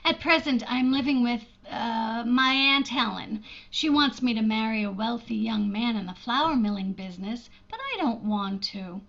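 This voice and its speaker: Woman acting a high-voiced, shy, lonely spinster sister. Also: normal speed, hardly any pauses, a bit bright, dull audio. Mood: shy, hopeful. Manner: hesitant, earnest, self-deprecating. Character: shy, lonely, wistful unmarried older sister in Ohio. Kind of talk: old-time radio drama acting.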